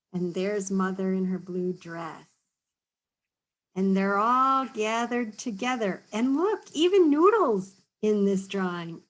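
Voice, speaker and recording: Woman reading to children, higher voice, unhurried and patient, explains step by step. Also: a bit bright. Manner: patient, explains slowly, often checks in with "okay?". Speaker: storybook reader and teacher. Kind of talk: reading a picture book aloud to children, then drawing tips.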